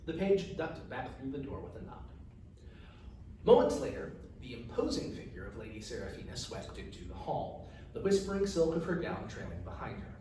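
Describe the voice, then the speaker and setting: A man reading his fantasy novel aloud as its author, in an expressive storytelling style. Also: medium pitch, moderate pace. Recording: echoey room, distant mic, faint noise.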